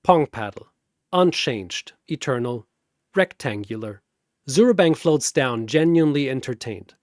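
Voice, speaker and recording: A man narrating a scripted battle story in a theatrical, deliberate way, like a dramatic commentator. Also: medium pitch, expressive, no echo, studio quality.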